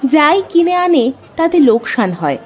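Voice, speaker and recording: High-pitched, animated woman storyteller. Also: measured pace, a little hiss or hum. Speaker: narrator. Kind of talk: scripted children's storybook narration.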